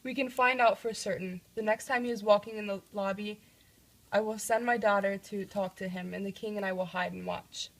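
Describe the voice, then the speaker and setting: A woman acts out an officious old male counsellor at a royal court. The voice is mid-pitched, and the style is homemade scripted puppet drama. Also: fairly even intonation, a little fast, lightly acted, low-quality recording. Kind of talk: amateur scripted puppet-show acting.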